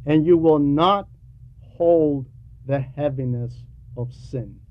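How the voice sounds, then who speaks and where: A man preaching a sermon with emphatic, deliberate phrasing and frequent pauses. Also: fairly high pitch. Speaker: preacher reading a translated homily. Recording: dull lo-fi recording with background noise.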